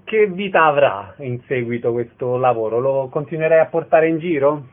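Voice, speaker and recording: A man's voice: medium pitch, lively intonation, normal speed, phone-quality sound. A man hosting a radio program, asking short questions and reading announcements in an announcer-like way. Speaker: radio host.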